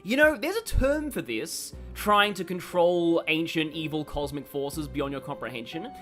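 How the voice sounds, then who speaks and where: A woman streamer giving casual live game commentary, chatty and excitable, stammering as she goes. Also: deep voice, normal speed, soft background music.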